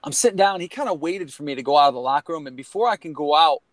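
A man's voice: on the high side, lively intonation, very fast, no room sound. A man around forty from Connecticut, telling a personal story in an earnest, rambling way, full of restarts and "you know.". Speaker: guest, former college baseball player. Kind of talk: candid storytelling in a podcast conversation.

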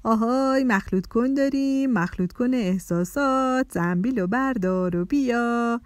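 A woman's voice: a little high, no echo, natural voice. A woman voicing a kind, playful father butterfly in a children's story. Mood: playful hawking.

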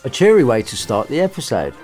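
A man's voice: mid-range voice, wildly swooping pitch, fast talker, a little mellow, soft background music. A man narrating a storybook comically, with irreverent, sarcastic, sweary asides and a theatrical manner. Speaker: story reader and podcast host.

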